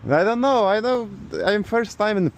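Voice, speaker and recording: A man from Poland with a Polish accent, talking casually in halting, simple non-native English as a vlogger. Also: sits high, slight background noise. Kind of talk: casual vlog talk.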